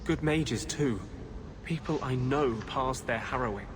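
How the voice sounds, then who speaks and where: A man playing an intense, embittered rebel mage in a video game, idealistic and distrustful, speaking gravely and urgently. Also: mid-range voice, measured pace, background noise, lightly acted. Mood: indignant, urgent. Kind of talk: dramatic video game dialogue.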